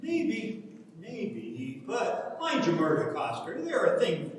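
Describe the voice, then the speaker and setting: Older countryman man acting, wistful, roundabout, lively high voice; live stage reading, distant mic in a reverberant hall. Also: takes it slowly, exaggerated voice. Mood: nervous, hedging. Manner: hesitant, roundabout. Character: anxious, kindly older countryman, hedging and wistful. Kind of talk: dramatic stage reading of an Irish rural play. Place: large reverberant hall, recorded from afar. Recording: echoey hall, distant microphone.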